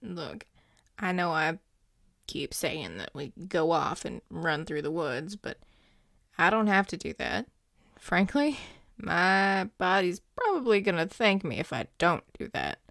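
A woman playing a grumpy but devoted male werewolf boyfriend, speaking intimately to the listener in a gruff, tender, halting way. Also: lowish voice, very animated, fairly bright tone, no room sound, studio quality, exaggerated voice. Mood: soft, coaxing. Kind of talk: intimate audio roleplay, spoken to the listener.

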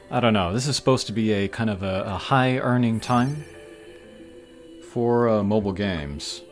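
Man, low pitch, casual gaming commentary, hesitant thinking aloud, music behind. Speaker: gaming channel host. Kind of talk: casual commentary over mobile game pulls. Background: game music.

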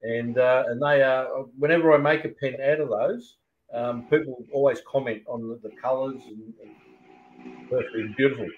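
A man's voice: mid-range voice, fairly even intonation, moderate pace. A middle-aged man chatting casually on a video call from a small workshop. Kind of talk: casual chat. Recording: dull, noisy workshop video-call audio.

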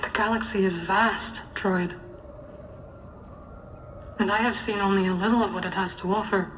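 A woman's voice: medium pitch, a little hiss or hum, lightly acted. An actress playing a proud, aloof witch warrior in a video game cutscene, speaking formally with dry humour. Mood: aloof, solemn. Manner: deliberate, formal, deadpan.

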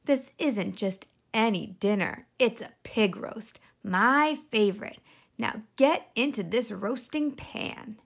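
Hungry male fox acted by a woman, bossy, short-tempered, slow gloating delivery, children's storybook villain. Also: a little high, gravelly, exaggerated voice. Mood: smug, bossy. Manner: growling, shouting, gloating. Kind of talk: children's storybook read-aloud.

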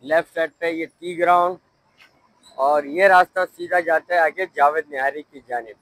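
A man's voice: on the high side, normal speed, a bit bright, no room sound, low-quality recording. A middle-aged man, chatting casually as he drives and points out the sights, recorded inside a car. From Pakistan. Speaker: vlogger. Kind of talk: casual driving tour narration. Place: inside a car on city streets. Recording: car and street noise behind.

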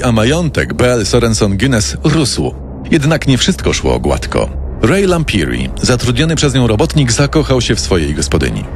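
A man narrating a scripted true-crime story with dramatic storytelling delivery. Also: medium pitch. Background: music.

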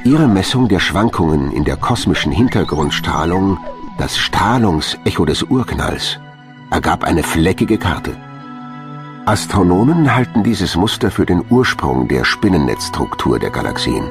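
A man's voice: sits low, very animated, measured pace. A man reading scripted narration for a science documentary as a studio voice-over. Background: background music.

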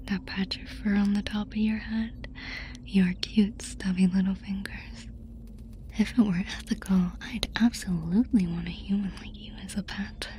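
A woman playing a curious, doting alien fascinated by humans in an ASMR roleplay, whispering softly and breathily in a casual, affectionate way. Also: medium pitch, steady tone, moderate pace, a little hiss or hum, lightly acted. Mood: adoring.

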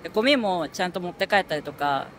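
A woman's voice: lowish voice, lively intonation, fast talker, fairly bright tone, a little hiss or hum. A young woman in her twenties answers casually and rambles with fillers into a handheld mic on a street. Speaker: interviewee. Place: city street outdoors. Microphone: handheld mic held by interviewer.

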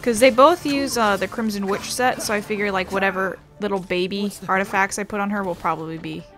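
A woman's voice: mid-range voice, a little fast, fairly bright tone. A woman giving casual, rambling, wry commentary as she plays a video game. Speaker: gaming streamer. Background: game music.

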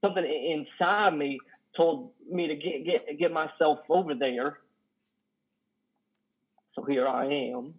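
An actor in his thirties playing a lonely, awkward trucker in a play reading, halting, stammering and folksy. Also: on the high side, slightly rough. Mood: nervous, apologetic. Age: 30s. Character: lonely, awkward, self-deprecating trucker, plain-spoken and folksy. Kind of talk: play reading over video call. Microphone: headset on a video call. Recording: dull video-call audio.

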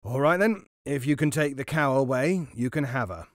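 A hearty, scornful dairyman in a storybook, acted by a male reader. He booms and mocks with a lively, swinging delivery, in a dry recording. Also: normal speed, dry recording, natural voice. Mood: roaring amusement. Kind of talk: storybook character voice.

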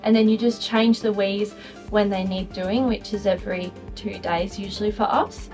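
A woman's voice: medium pitch, steady tone, normal speed, a little mellow. Young aussie woman giving a caravan tour, chatty and upbeat, lots of ums, faint music under it. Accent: Australian. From Australia. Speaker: vlogger showing a caravan. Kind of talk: casual walk-through chat.

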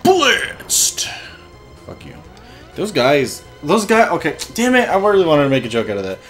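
Excitable swearing young gamer guy. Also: fairly high pitch, normal speed, faint music behind. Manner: excitable, swearing, frustrated outbursts. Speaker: let's play host. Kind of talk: casual gameplay commentary.

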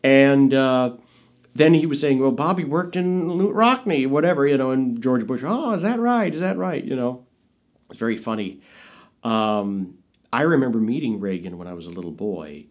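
A man's voice: medium pitch, animated, normal speed. An American man in his fifties telling chatty anecdotes casually in an interview, as a raconteur. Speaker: interviewee, actor and filmmaker recalling his father. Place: small indoor studio with dark curtains.

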